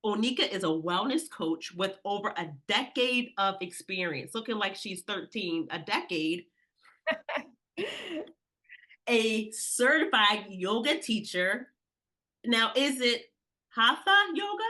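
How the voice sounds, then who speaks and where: Bright-voiced gushing woman host. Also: medium pitch, lively intonation, slow. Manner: effusive, gushing with praise. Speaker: podcast host. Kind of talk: enthusiastic interview.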